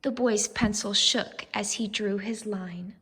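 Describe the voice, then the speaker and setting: A woman reading a storybook aloud to young children as a teacher, expressive and deliberate, pausing to ask questions. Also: mid-range voice, breathy edge, long reverb tail.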